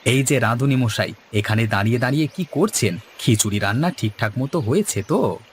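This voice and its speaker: A man voicing a sociable, courteous village organizer in an animated cartoon. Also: mid-range voice, a little fast, a little hiss or hum, close to an everyday voice. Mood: brisk, directing.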